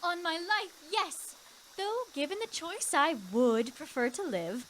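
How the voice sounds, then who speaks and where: A woman's voice: extremely high pitch, very animated, measured pace, a bit bright, slight background noise, heavily acted. A woman playing an earnest, pleading widow heroine in a radio drama, with formal phrasing. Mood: earnest, grateful.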